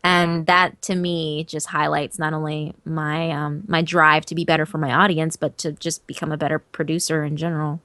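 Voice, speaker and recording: A woman who narrates horror stories, chatting as an interview guest in an animated, emphatic way and swearing casually. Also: low voice, moderate pace, few pauses, no echo.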